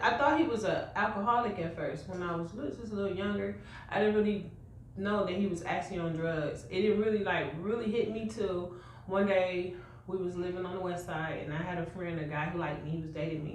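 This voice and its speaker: A woman's voice: lowish voice, brisk, slight background noise. A woman telling a personal story in a casual, informal way, with an interview-style testimony. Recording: echoey room, mic at a distance.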